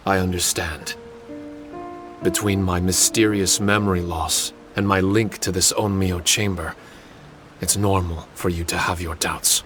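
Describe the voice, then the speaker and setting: An earnest spirit guardian from a fantasy game. The voice is fairly low, formal and humble, apologetic in its phrasing, with clear background music. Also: male voice, steady tone, slow pace, long pauses. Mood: humble, accepting. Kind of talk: English video game voice acting. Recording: music behind the voice.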